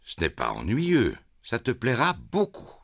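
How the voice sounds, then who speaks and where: A man's voice: mid-range voice, huge pitch swings, measured pace, gravelly, no echo, studio quality, heavily acted. A man voicing a good-natured, boastful daddy pig in a French children's cartoon, blustering and a little hesitant. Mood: jovial storytelling. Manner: blustering, hesitant when caught out.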